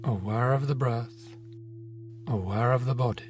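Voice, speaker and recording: A man narrating a guided meditation in a gentle, unhurried manner, leaving long pauses between phrases. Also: medium pitch, a little hiss or hum. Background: meditation music with singing bowl tones.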